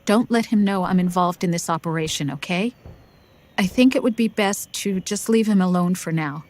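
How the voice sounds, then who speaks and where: An actress playing a gentle, troubled female counselor in a video game, soft, hesitant and earnest. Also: on the low side, moderate pace, lightly acted. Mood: concerned, hesitant. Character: gentle, troubled female counselor, anxious about former lover. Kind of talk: video game cutscene acting.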